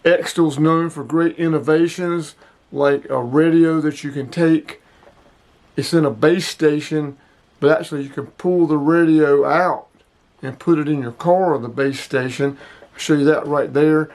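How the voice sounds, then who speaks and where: A man's voice: on the high side, steady tone, measured pace, darkish tone. A man in his sixties hosting a casual hobby product showcase, speaking emphatically and repeating himself to drive points home. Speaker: host of a hobby radio video.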